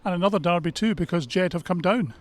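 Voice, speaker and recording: A man reporting live from pitchside at a rugby match. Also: quick pace. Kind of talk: live pitchside interviewing and handover. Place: outdoors pitchside at a rugby ground. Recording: outdoor pitchside with background noise.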